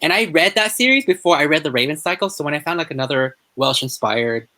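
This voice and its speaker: A woman with a strikingly low voice chats casually as a co-host on a book discussion. She rambles hesitantly and is quick to put herself down. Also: expressive, a bit bright. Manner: hesitant, rambling, self-deprecating. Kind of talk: casual chat.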